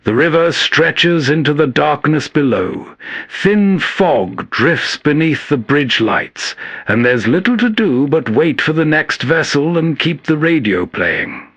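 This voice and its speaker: Higher-voiced man narrating, very dry sound. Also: very animated, slow pace, fairly bright tone, no room sound. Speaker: host narrator. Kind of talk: soft scripted bedtime narration.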